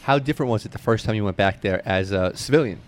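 A man's voice: on the low side, fast talker. A man hosting a casual, chatty interview, informal and slangy, circling back to rephrase his questions. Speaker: interviewer, podcast host.

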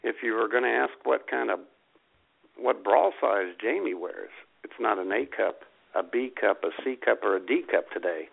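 An American man bantering casually as a talk show co-host, gravelly and wry. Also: fairly low pitch, lively intonation, moderate pace. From United States. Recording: thin phone-like radio audio.

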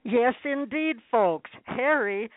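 A woman's voice: a little high, animated, takes it slowly, loud music behind the voice. A woman announcer delivering scripted radio-style narration. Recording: muffled, narrow phone-like radio sound.

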